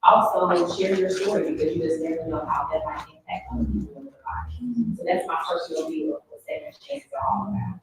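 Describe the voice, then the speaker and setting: A woman giving a deliberate, emphatic reflection as a guest speaker at a live event. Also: lowish voice, big pitch swings. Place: indoor room at a live event. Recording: very echoey room, distant mic, faint noise.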